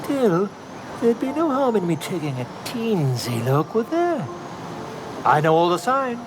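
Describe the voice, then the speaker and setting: An actor playing a pompous, excitable self-styled monster scholar in a fantasy video game, speaking in a florid, self-important, theatrical way. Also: male voice, high-pitched, measured pace, background noise. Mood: amused, curious.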